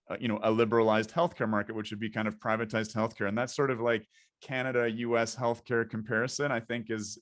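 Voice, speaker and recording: Man hosting a podcast, rambling and talkative, low voice, close dry sound. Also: no echo. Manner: rambling, talkative, thinks aloud. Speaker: podcast host. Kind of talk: podcast interview.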